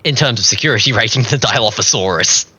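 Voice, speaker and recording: Man, slightly low pitch, very sharp forward tone, relaxed co-op gaming chat, advisory co-player. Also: quick pace, breathy edge.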